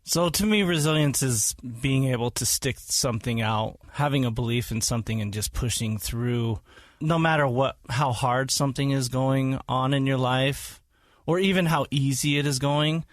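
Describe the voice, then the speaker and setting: A man's voice: mid-range voice, normal speed, dry recording. A man who was born in Hawaii and raised in Utah, a podcast guest talking casually with frequent ums and restarts. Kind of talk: casual interview talk.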